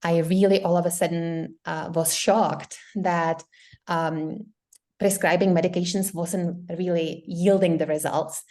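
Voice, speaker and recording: A woman's voice: on the low side, fairly even intonation, unhurried, darkish tone. A middle-aged woman from the Czech Republic with a Czech accent, a doctor explaining her practice earnestly in an interview. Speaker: guest doctor. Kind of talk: interview conversation.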